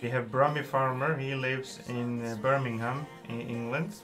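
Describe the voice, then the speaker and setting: A man's voice: medium pitch, steady tone, moderate pace, over music. A man hosting a casual gaming livestream, thanking viewers and reading chat aloud in simple, slightly phrasing. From Iceland. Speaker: livestream host.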